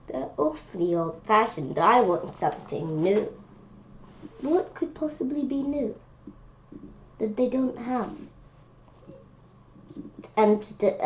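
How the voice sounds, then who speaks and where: Female children's book reader, unhurried and halting with curious asides; lo-fi audio with faint background noise. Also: medium pitch, darkish tone. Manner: halting reading with asides and wondering questions. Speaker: read-aloud presenter. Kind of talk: children's picture book read-aloud with spontaneous comments. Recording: dull lo-fi sound with faint noise.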